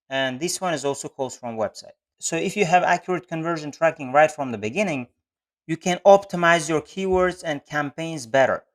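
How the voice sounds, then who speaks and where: A man with a slightly high voice narrates a step-by-step screen-share tutorial. He explains things methodically, often restating his points as he goes. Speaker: online advertising tutorial presenter. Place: voice-over on a screen recording.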